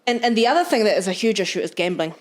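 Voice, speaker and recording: A woman's voice: medium pitch, lively intonation, racing. A young adult woman with a New Zealand accent, a podcast guest talking frankly in casual chat, with the odd swear word.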